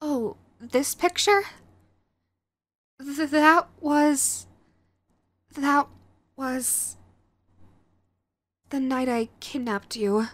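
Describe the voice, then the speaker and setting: A woman's voice: sits high, drawn-out words, long pauses, a bit bright, full character voice. A woman playing a young, sweet, clingy yandere girlfriend in an intimate audio roleplay, with a cutesy, hesitant manner. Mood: remorseful, hesitant. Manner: cutesy, hesitant, gushing pet names. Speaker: voice actress.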